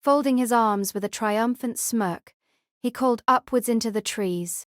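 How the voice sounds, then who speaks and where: A woman's voice: a little high, moderate pace, no echo, clean studio sound. A woman reading scripted audiobook narration of a story as its narrator.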